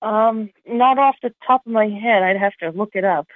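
A woman's voice: medium pitch, moderate pace, no room sound. A middle-aged woman with a slight New Jersey accent, chatting casually and hesitantly as a caller on a phone line. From New Jersey, USA. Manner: hesitant, tentative. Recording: dull, lo-fi phone-call sound.

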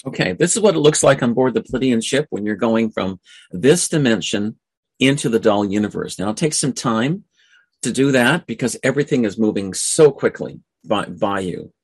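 A man hosting a radio talk show in an informal monologue, halting and often repeating or stumbling over his phrases. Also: medium pitch, animated, breathy edge, dry recording.

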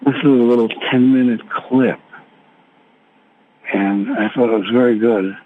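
A man hosting a spiritual conference call, rambling informally and haltingly as he searches for his words. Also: mid-range voice, steady tone. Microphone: telephone conference call. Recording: tinny phone line with background hiss.

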